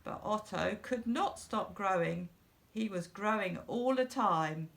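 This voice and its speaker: Middle-aged Englishwoman, creaky voice, unhurried and expressive children's storybook reader. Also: medium pitch. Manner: slow, deliberate, simple phrasing for young children. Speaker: school storyteller.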